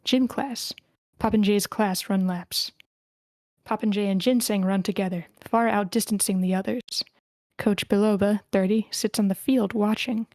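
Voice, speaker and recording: A woman's voice: mid-range voice, steady tone, moderate pace, a little mellow, no echo. A woman reading stage directions as narrator, in scripted narration at a screenplay table read.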